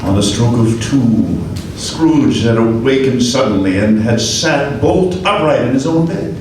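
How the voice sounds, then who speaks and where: A man narrating a classic ghost story aloud in a live radio play, with measured, vivid storytelling. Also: mid-range voice, lively intonation. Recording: echoey hall, far mic, noise.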